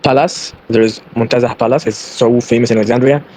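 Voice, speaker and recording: A man from Egypt chatting in hesitant, as he practices, pausing often with ums while he searches for words. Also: mid-range voice, fairly even intonation, a little mellow, a little hiss or hum. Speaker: conversation partner. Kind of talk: casual English practice chat.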